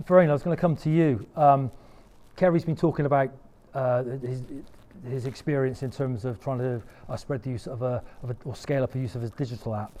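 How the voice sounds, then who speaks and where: Booming man moderator, mellow tone. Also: brisk. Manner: loud, speaking without a microphone. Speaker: panel moderator. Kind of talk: moderating a panel discussion. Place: conference room.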